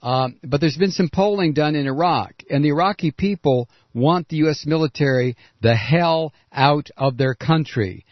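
Male call-in radio host, combative and sarcastic, fairly high voice, dry close studio sound. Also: moderate pace, few pauses, no echo, lo-fi sound. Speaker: radio talk show host. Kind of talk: political call-in radio talk.

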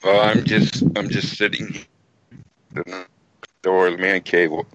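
A man with a very low voice chats over a remote call, relaxed and easy in his storytelling. The call audio is dull and lo-fi, missing its top end. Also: unhurried, heavy background noise. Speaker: guest caller. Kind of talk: casual chat.

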